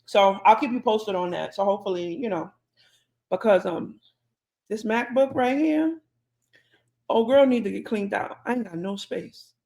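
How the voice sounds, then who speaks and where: Animated woman co-host telling a story. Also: mid-range voice, moderate pace, gravelly, pauses often. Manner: animated, acts out conversations in quotes. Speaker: podcast co-host. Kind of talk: casual storytelling chat.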